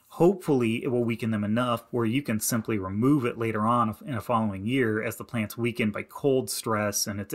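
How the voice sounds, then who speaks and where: Guy from indiana walking through slides, practical how-to advice, mid pitch. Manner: plain, practical and advising, with many "you need to" instructions. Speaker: extension educator. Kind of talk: narrated slideshow lesson. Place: voice-over to slides.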